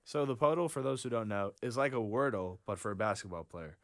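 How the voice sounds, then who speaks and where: A young man from New York City co-hosting a casual chat, full of quick quips and teasing asides. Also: on the low side, dry recording, clean studio sound. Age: young adult. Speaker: podcast co-host, college student.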